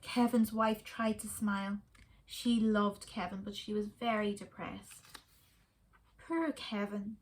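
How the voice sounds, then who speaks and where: A woman reading a children's story aloud in a soft, expressive way. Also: mid-range voice, slow pace, rough recording.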